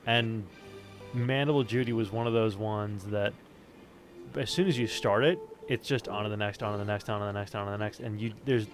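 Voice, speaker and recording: A man's voice: on the low side, fairly even intonation, a little fast, faint music behind. A man in casual conversation, talking in an emphatic, opinionated and unguarded way. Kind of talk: casual chat.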